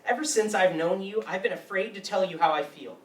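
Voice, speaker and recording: A man's voice: sits high, a little fast, close to an everyday voice. A young man acting a wounded, anxious, brooding young man in a live staged play reading. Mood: hurt, confronting. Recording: roomy, distant mic with faint noise.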